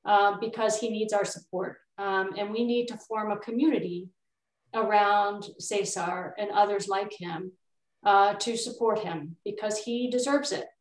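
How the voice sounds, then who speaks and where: A woman's voice: mid-range voice, fairly even intonation, unhurried. A middle-aged woman with an American accent, speaking earnestly and emphatically as an attorney on a video-call panel. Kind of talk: panel discussion remarks. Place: indoor room, on a video call. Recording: echoey, distant video-call sound.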